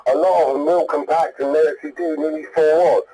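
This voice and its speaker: A British man comes through on CB radio with a mellow, slightly high voice. He is a hobbyist chatting casually, blunt and colloquial, rambling from one point to the next. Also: fairly even intonation, fast, low-quality recording. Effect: heard over CB radio. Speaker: CB radio enthusiast calling in.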